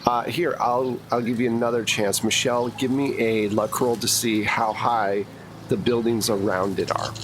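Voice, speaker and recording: A man's voice: mid-range voice, fairly even intonation, normal speed, slight background noise, rough recording. A man narrating a tabletop roleplaying game as game master, casual and given to joking asides.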